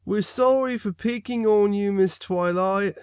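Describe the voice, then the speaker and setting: Man voicing a meek little filly, high and smooth. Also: measured pace, a little mellow, no room sound, clean studio sound. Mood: contrite. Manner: earnest, meek. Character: sweet, contrite little filly, a young girl pony.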